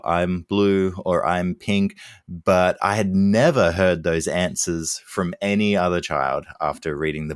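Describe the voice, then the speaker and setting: An Australian man, a children's author, answers questions in a low voice. He speaks slowly and without hurry, dropping in the odd filler. The sound is dry and close. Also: no echo. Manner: relaxed and unhurried, with fillers. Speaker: children's book author, guest. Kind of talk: interview answers.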